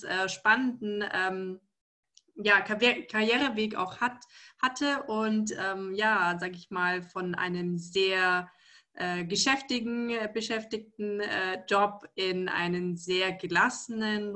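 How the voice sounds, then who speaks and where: Woman, host, group talk, friendly, filler-heavy, bright voice. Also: mid-range voice. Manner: friendly, hesitant with many fillers. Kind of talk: casual interview moderation.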